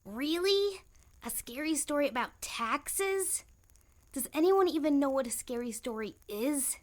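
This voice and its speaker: A woman's voice: high voice, animated, unhurried. A woman voicing a sarcastic, bossy girl camper in a light-hearted audio drama, dry and dismissive with a theatrical streak. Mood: scornful, exasperated.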